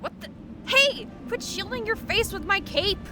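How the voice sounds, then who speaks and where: A woman's voice: very high-pitched, lively intonation, measured pace. A woman playing an irritable, bossy adventurer in a fantasy audio drama, snapping at companions. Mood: annoyed.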